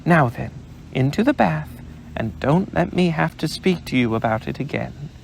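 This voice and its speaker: A man's voice: on the high side, animated, normal speed, creaky, slight background noise, voice pushed up, poor audio. A man voicing a motherly female kangaroo who is cheerful, soothing and firm. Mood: severe.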